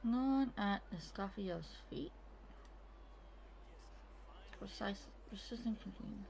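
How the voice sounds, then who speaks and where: A woman's voice: mid-range voice, slow pace, creaky, a little mellow, a little hiss or hum. A woman hosting a gaming video, making brief, murmured, casual comments as she plays.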